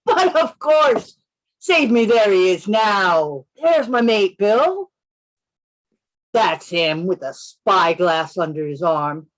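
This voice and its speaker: Woman playing a sly, slow, sing-song pirate sailor. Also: medium pitch, animated, rough recording. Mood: wheedling, sly. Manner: oily, wheedling, rustic sailor's grammar. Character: sly, wheedling pirate sailor, male, falsely friendly. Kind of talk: radio play acting.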